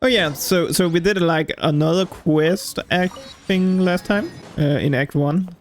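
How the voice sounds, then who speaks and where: Guy streaming a game, slightly high voice, thinking out loud, halting and kinda puzzled. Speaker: gamer streamer. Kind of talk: casual gameplay commentary.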